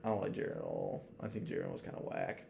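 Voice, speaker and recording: A man's voice: rumbling low, huge pitch swings, quick pace, creaky, darkish tone, a little hiss or hum. A man co-hosting a podcast, chatting casually and offhandedly with slang and swearing. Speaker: podcast co-host. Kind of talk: casual chat, ranking anime villains and films.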